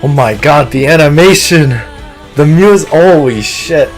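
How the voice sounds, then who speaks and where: Loud swearing male game streamer. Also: on the high side, unhurried. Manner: loud excited exclamations and swearing. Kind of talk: live gameplay reaction. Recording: faint game music behind.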